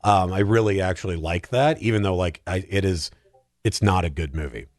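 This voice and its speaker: A man's voice: deep voice, brisk, no echo. A man chatting casually as a film podcast panelist, rambling and joking with dry humor. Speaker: podcast panelist discussing films. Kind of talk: casual comedic chat.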